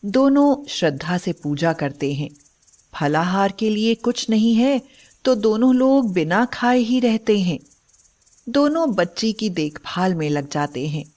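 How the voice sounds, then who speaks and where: A woman delivers scripted storybook narration. She speaks at a slow, measured pace in a medium-pitched voice, laying out the tale line by line. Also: lively intonation, a little mellow.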